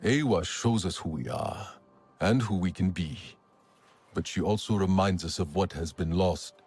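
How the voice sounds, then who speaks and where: An actor playing a battle-hardened, proud alien clan warrior in a game cutscene, husky and grave, with formal phrasing. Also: male voice, deep voice, measured pace, darkish tone, faint music behind. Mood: solemn, encouraging. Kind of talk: dramatic game cutscene acting.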